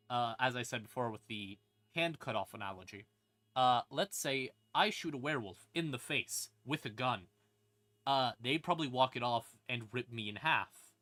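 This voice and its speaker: A man's voice: mid-range voice, pushed and bright. A man streamer explaining game lore in a casual, rambling, wry and emphatic way, with some swearing. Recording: music playing behind the voice. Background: creepy ambient music.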